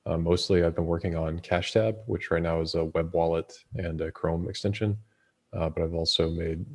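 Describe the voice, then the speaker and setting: A man giving a brief, matter-of-fact introduction as a web developer on a call. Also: sits low. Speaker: web developer, meeting participant.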